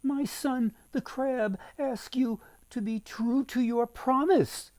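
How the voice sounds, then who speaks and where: A man voicing an earnest priest's wife in a folktale, pleading respectfully and with measured emphasis for her child. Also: extremely high pitch, darkish tone, pitched above the performer's own voice.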